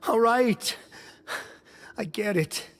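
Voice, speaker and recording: An actress playing a flustered inmate in a video game, earnest and insistent, pleading to be believed. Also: female voice, fairly high pitch, very slow. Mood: resigned.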